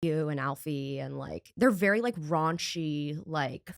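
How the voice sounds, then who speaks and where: A woman's voice: low-pitched, measured pace, vocal fry. A young woman from the United States chatting casually on a podcast, rambling with lots of fillers and restarts. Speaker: guest.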